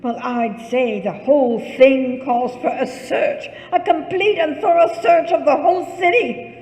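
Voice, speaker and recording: High-pitched fretful invalid wife played by an actress. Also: female voice, unhurried, a little mellow. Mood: insistent. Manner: fretful, imperious, stammering when rattled. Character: anxious, demanding bedridden invalid wife, easily panicked. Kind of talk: suspense radio play acting. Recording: echoey hall with long reverb.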